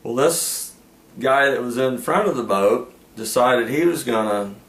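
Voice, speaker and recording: A man's voice: mid-range voice, unhurried, a bit bright. A man telling fishing stories in a casual, rambling, folksy way, often trailing into "anyway" and "uh". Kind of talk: casual storytelling. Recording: echoey room with faint ambience.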